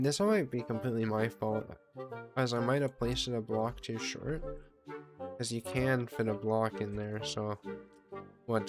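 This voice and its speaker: A man giving casual, rambling gameplay commentary as a video host, offhand, self-deprecating and casually sweary. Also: medium pitch.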